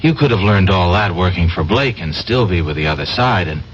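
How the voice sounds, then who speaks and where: An actor playing a skeptical, wary male reporter who questions haltingly in a 1970s radio drama. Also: low-pitched, animated. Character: wary, skeptical unemployed reporter framed for murder. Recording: muffled, noisy old radio drama recording.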